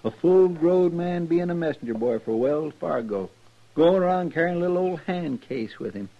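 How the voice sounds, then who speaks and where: An actor playing an eager, talkative frontier deputy with a folksy twang and rural grammar, in a 1950s radio drama. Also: male voice, fairly high pitch, expressive, normal speed. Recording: dull vintage radio transcription.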